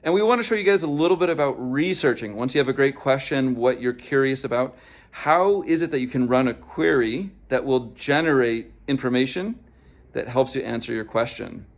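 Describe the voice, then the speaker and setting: A man's voice: mid-range voice, fairly quick. Man introducing a guest to students. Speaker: competition host. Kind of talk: introducing a guest for a tutorial video.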